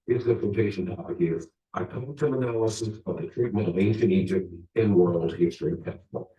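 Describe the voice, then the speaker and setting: A man's voice: on the low side, brisk, recorded from a distance. A man reporting in a broadcast narration style, heard from an archive recording. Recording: dull, echoey archive broadcast.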